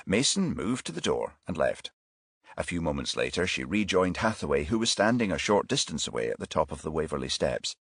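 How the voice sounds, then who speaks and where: A man's voice: low voice, sing-song, brisk, a bit bright, slightly rough, no room sound, clean studio sound. A man reading a crime novel aloud as an audiobook narrator, in a scripted storytelling style.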